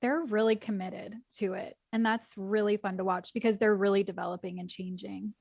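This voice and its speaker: A woman guest on a podcast, a program coach, chats casually and agrees eagerly with the host. Her voice has a middling pitch, and the recording is dry with no room echo. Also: fairly even intonation, normal speed, creaky. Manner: warm agreeing, enthusiastic. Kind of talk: casual conversation.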